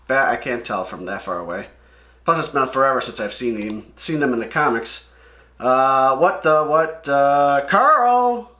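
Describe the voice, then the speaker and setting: A man's voice: medium pitch, a bit bright, echoey room. A man doing casual read-along commentary, rambling with slangy, sweary asides. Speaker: host of comic read-along videos.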